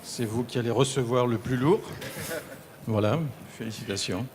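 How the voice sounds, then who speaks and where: A man presenting an innovation award, speaking in a measured, formal way with some fillers. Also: mid-range voice, steady tone, background noise. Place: large exhibition hall. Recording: hall reverb.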